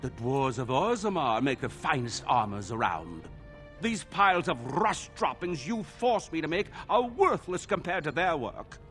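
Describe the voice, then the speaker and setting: Fussy excitable armorsmith from a fantasy game, really high voice, bit of music behind. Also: male voice, unhurried. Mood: petulant, indignant. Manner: theatrical, fussy, gushing and petulant. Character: excitable, temperamental male master armorsmith, proud perfectionist. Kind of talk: fantasy video game voice acting.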